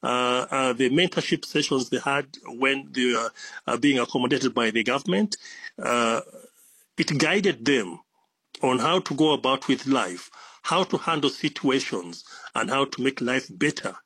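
Reporter guy, lots of uhs, husky, dry sound. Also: mid-range voice, measured pace, pushed and bright, no room sound. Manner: hesitant, with many fillers.

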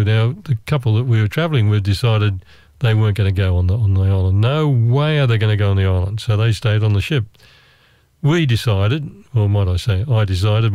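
A man's voice: fairly low pitch, dark tone, no room sound. Man, storyteller, dry close sound, wry and self-mocking. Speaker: travel storyteller. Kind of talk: casual storytelling.

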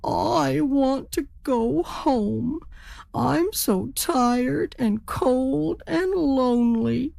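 A woman's voice: high-pitched, big pitch swings, takes it slowly, vocal fry, a little mellow, slightly rough, voice pushed up, heavily acted. A woman voicing a proud, earnest male turkey adventurer in a recorded children's story. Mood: tearful.

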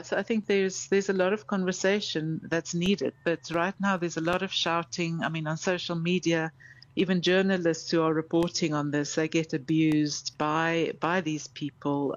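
A guest from South Africa speaking from Kenya, talking in a measured, thoughtful way and often repeating words while gathering thoughts. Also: mid-range voice, steady tone, lo-fi sound. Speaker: guest commentator. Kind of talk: thoughtful conversational analysis. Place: in Kenya, remote call.

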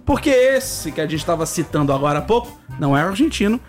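High-voiced chatty male news co-host. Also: big pitch swings, fast, soft background music. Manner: joking, interrupts to add caveats. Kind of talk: casual chat on news.

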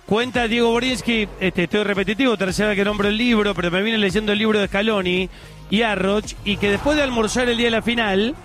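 A man with an Argentine accent hosting a radio sports show, talking fast and excitedly in informal chat. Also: high-pitched, soft background music. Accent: Argentine Spanish.

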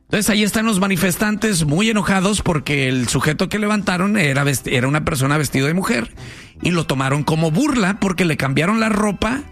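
A man's voice: sits high, lively intonation, a little fast, a bit bright, faint music behind. A man with a Mexican accent hosting talk radio in an informal, inquisitive, conversational way. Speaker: radio show host.

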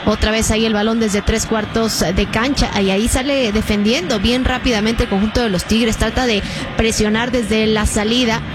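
A woman's voice: a little high, fairly even intonation. A woman calling a live football match as play-by-play commentator, speeding up and rising with the action. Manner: fast, rising with the action, many fillers. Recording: broadcast with noisy background.

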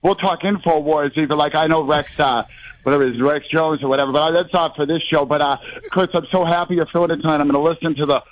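A man from the Northeast, calling in to a sports radio show and rambling excitedly with lots of fillers. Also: a little high, fast talker, a little hiss or hum, rough recording. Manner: rambling, excitable, talks in run-on bursts with many fillers. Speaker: caller. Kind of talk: rambling call-in chat.

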